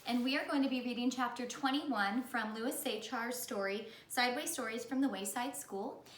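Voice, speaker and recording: A woman reading a children's story aloud in the animated style of a teacher. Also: fairly high pitch, moderate pace, distant mic. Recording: echoey room.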